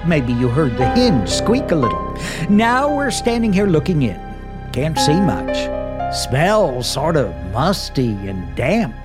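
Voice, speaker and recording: Glib wisecracking 1940s radio disc jockey, swooping delivery. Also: male voice, on the high side, sing-song, takes it slowly. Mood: wry. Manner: flippant, joking, chatty. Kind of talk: 1940s radio acting, live broadcast patter. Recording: 1940s radio drama recording with music.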